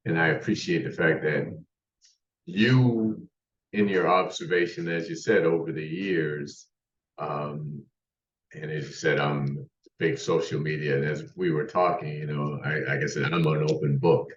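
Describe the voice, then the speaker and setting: A man's voice: very deep voice, fairly even intonation, a little slow, a little hiss or hum. A man in his sixties, a podcast guest, telling his life story in a rambling way with many restarts. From United States. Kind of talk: casual interview storytelling. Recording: distant roomy muffled remote mic.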